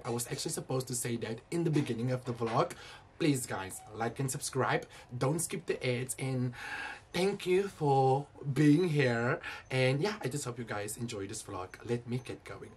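A man's voice: mid-range voice, darkish tone. A man vlogging casually, chatting directly to his viewers. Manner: chatty, addresses viewers as guys. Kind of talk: casual vlog chat to viewers. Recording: noisy vlog audio with background ambience.

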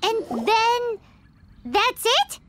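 Squeaky, perky little fairy. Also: female voice, big pitch swings, drawn-out words, fairly bright tone, full character voice. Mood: excited. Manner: exclamatory, talks in third person. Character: tiny childlike floating fairy companion, perky, cheeky. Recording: studio voice over game music.

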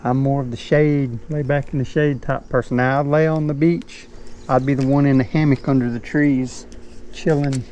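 A man's voice: medium pitch, fairly even intonation, moderate pace, warm tone, lo-fi sound. A middle-aged man, chatting in a laid-back, folksy way while fishing from a small boat, recorded on a head-mounted camera. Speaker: fishing vlogger. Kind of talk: casual narration while fishing. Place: small boat on a shaded swamp, outdoors. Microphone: head-mounted action camera. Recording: faint outdoor noise.

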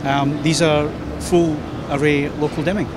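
A man with a Scottish accent asks questions in a chatty, informal way, his voice pitched a little higher than most men's. He is on a crowded trade show floor, and clear hall noise carries on behind him. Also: flat delivery, measured pace. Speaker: tech website interviewer.

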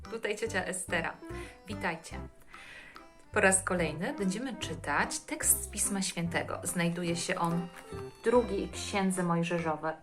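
A woman host reading Bible verses aloud to children in a deliberate storytelling manner. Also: lowish voice.

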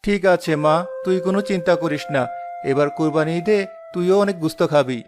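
A man voicing a poor, gentle, downcast village father in a cartoon, weary and plaintive. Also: fairly high pitch, fairly quick, lightly acted. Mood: reassuring. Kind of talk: cartoon dialogue dub. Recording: music under the voice.

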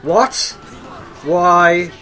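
A young man giving excitable, exclaiming commentary while playing a co-op game. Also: high voice, extremely slow. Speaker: gaming video player.

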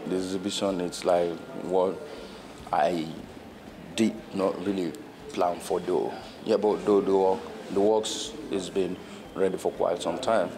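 A man talking spontaneously in an interview as an artist, with halting, repetitive phrasing. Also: deep voice, level tone. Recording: busy crowd behind voice.

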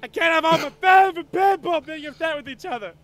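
A man's voice: extremely high pitch, quick pace, fairly bright tone, soft background music. A man playing a distressed, slangy teenage boy hero student in an anime parody dub, colloquial and urgent. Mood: coaxing.

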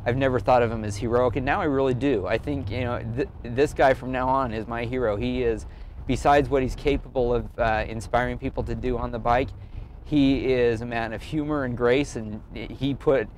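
A man talking casually in an earnest, halting way, thinking aloud as he tells a personal story in an interview. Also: medium pitch, fairly even intonation, a little mellow, a little hiss or hum. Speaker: amateur cyclist and blogger being interviewed.

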